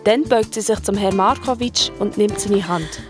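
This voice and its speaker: A woman as narrator, telling a scripted children's story in a colloquial, expressive way. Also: medium pitch, normal speed, music in the background.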